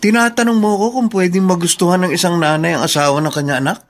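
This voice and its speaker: A man's voice: high-pitched, fairly even intonation, fast. An actor playing a teasing, nosy male buddy in a radio drama, talking in a slangy, chuckling way. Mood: teasing, curious.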